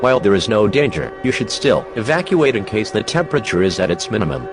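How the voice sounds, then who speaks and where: A man's voice: lowish voice, very animated, quick pace, over music. A man playing an official emergency alert announcer, reading an urgent scripted broadcast. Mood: stern warning.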